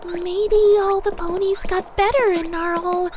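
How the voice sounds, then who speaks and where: Bubbly childlike female cartoon pony, squeaky-high, slower pace, highly excitable delivery. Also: very noisy. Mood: cheerful, excited. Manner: childlike, exclamatory, pleading when upset. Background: music.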